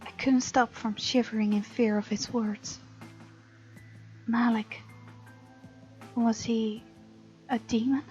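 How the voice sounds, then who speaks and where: High-voiced woman reading a game aloud. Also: slow, long pauses, lo-fi sound. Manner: expressive, informal asides. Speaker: gamer, let's-play host. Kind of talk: reading visual novel narration aloud. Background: faint game music.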